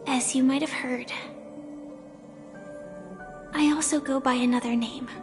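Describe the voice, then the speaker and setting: An actress voicing a gentle young woman who haltingly confesses a hidden identity in a video game, in a soft, earnest way. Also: high-pitched, fairly even intonation. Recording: game audio with reverb and music.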